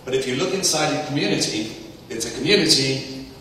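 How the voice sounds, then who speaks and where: A man giving a loud, energetic conference talk, with frequent ums and uhs. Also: mid-range voice, fairly quick, slight background noise. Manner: loud, energetic presenter with fillers. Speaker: conference speaker. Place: large conference room. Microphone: distant, mic trouble. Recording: echoey hall from far off.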